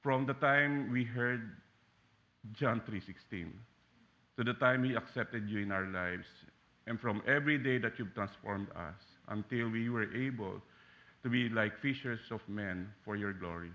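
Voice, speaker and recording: A man in his forties from the Philippines with a Filipino accent, preaching a sermon as a guest pastor in a church, animated and joking with frequent fillers and restarts. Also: lowish voice, measured pace, muffled recording. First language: Taglish. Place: church sanctuary.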